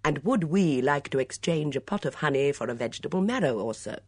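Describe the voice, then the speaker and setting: A woman's voice: low voice, lively intonation, a little fast, darkish tone, slightly rough, no room sound, studio quality. A woman voicing a glib, teasing young man in love, with flowery, mock-formal speech in an audiobook story. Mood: playful, teasing.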